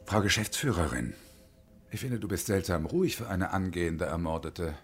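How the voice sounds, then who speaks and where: An actor playing a bitter, desperate hostage-taking engineer in his fifties in a radio drama, with sardonic, menacing, deliberate phrasing. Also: sits low, lively intonation, a little hiss or hum. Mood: quietly menacing. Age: middle-aged.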